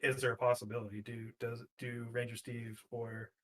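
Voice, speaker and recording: A man's voice: medium pitch, little pitch movement, normal speed. A man playing a tabletop game, talking strategy casually and repeating phrases as he reasons.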